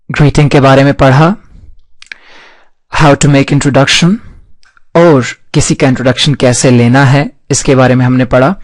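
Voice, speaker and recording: A man teaches an online English lesson at an unhurried pace. His mid-pitched voice is recorded close and dry, with no room sound. He spells out each English example sentence slowly and clearly for his students. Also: no echo. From Pakistan. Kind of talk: scripted online language lesson.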